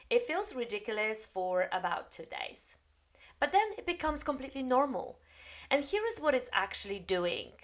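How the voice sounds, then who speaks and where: A woman's voice: medium pitch, fairly bright tone. A woman, an English coach giving a direct, encouraging spoken lesson. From Poland. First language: Polish. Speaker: English coach and TEFL teacher.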